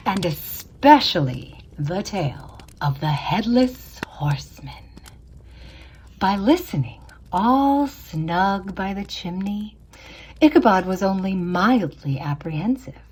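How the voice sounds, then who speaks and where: A woman telling a spooky old tale as scripted narration, theatrical and deliberate, drawing out words for drama. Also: medium pitch, big pitch swings, a little mellow, husky. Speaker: storyteller, narrator. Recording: music behind the voice. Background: instrumental music.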